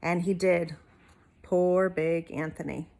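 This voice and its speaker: A woman's voice: fairly low pitch, fairly even intonation, takes it slowly, creaky, mellow. A woman reading a storybook aloud expressively to young listeners, with casual asides like a teacher.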